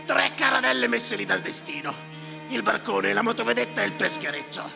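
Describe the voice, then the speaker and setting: An actor playing a bitter, cynical clerk who grumbles and declaims to himself in a raspy voice, in a theatrical monologue. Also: male voice, sits high, animated, a little fast, heavily acted. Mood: mocking, declamatory. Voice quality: raspy, gravelly. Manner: muttering to himself, sardonic, declamatory, repeating words obsessively. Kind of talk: theatrical stage monologue with live music. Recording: reverberant hall, music behind voice. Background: music.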